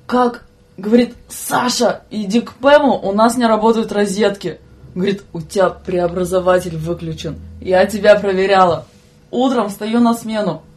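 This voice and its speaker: Young woman, podcast guest, medium pitch, casual storytelling, slangy, expressive, quotes others in direct speech. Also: normal speed. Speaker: podcast guest, train conductor.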